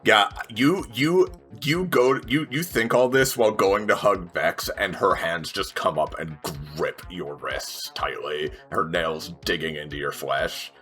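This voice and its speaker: Male tabletop player, lowish pitch with a sharp forward edge, casual game talk and narration. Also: a little slow, faint music behind.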